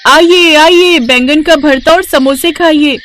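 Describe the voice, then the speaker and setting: Casting: confident young daughter acted by a woman, cheerful, persuasive, pitched up for a storybook. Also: slow, a little hiss or hum. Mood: eager selling. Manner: bright, persuasive, high-voiced.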